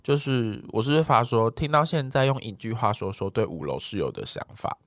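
A man's voice: medium pitch, lively intonation, moderate pace, no echo. A young man co-hosting a casual podcast, somewhat hesitant as he steers the talk back on topic. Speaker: podcast co-host. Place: podcast recording studio. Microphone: close studio microphone.

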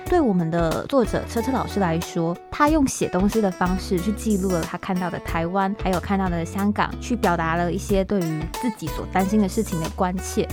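A woman with a Taiwanese accent hosting a podcast interview, attentive and affirming as she lays out her questions conversationally. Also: lowish voice. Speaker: podcast host.

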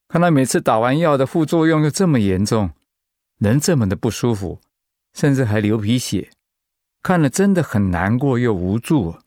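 A man's voice: medium pitch, darkish tone, no echo, clean studio sound, lightly acted. A man acting in a radio drama as a humble, anguished pastor and devoted husband, speaking in a heartfelt, sighing way.

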